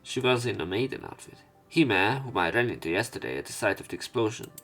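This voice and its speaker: A man narrating a visual novel let's play, reading the text aloud in a measured way with some stumbles. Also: fairly low pitch, lively intonation, a bit bright, faint music behind. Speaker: let's player.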